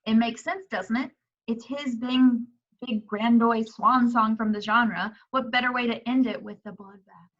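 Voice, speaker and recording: A woman's voice: on the high side, steady tone, measured pace, a bit bright. A woman playing an assertive investigative journalist in a horror script read at home. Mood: urgent, intrigued. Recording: dull home video-call audio.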